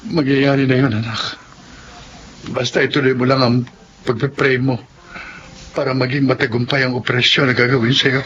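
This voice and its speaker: A man playing a calm, reassuring father of a sick child in a radio drama. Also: medium pitch, normal speed, pauses often, highs cut off, a little hiss or hum, close to an everyday voice. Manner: steady, reassuring.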